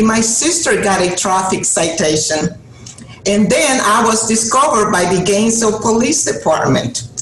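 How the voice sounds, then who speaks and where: Honduran-accented woman giving an earnest talk. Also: mid-range voice. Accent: Honduran Spanish. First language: Spanish. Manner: earnest, emphatic, with repeated rhetorical phrases. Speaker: guest speaker. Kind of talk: motivational speech to an audience. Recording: roomy hall sound with faint noise.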